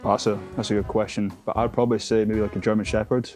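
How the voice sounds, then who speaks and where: A man's voice: lowish voice, little pitch movement, quick pace, darkish tone, soft background music. A Glaswegian man in his twenties, a confident, chatty dating show contestant who fills gaps with "like" and "um". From Scotland. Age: 20s. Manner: chatty, confident, many fillers.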